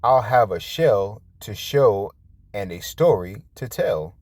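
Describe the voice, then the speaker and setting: A man plays an eager young storybook cat, earnest and quick to exclaim. The lines swing up and down in a lively way but come at an unhurried pace. It is a simple picture-book character for small children. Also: on the low side, dry recording, exaggerated voice. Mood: eager, willing. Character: eager, dutiful young cartoon cat boy.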